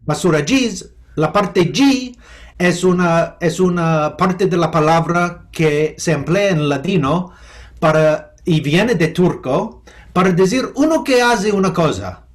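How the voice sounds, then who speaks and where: Halting American man, higher voice. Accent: American English. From United States. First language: English. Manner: halting, thoughtful, with many restarts and fillers. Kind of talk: remote interview.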